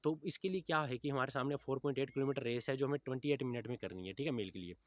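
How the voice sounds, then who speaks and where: A man's voice: medium pitch, rapid-fire. A man of about thirty, giving informal motivational advice to students, often adding check-in tags as he goes. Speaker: exam coaching teacher giving advice to recruitment candidates.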